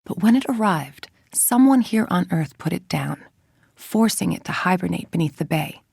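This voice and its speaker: Game villainess, scientist. Deep female voice, dry close recording, icy, precise and clinical delivery. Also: animated, normal speed, creaky, a little mellow, slightly rough, no echo, full character voice. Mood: clinical, brooding. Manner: clinical, articulate, controlled, formal. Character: cold, calculating villainous scientist woman running a research group. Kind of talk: scripted game voice-over, audio log monologue.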